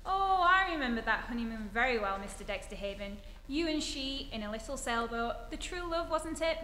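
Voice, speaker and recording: An actress playing a practical, wisecracking magazine photographer with wry quips in a stage re-enactment of an old radio comedy. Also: female voice, on the high side, lively intonation, normal speed, sharp tone. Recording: echoey hall, faint noise.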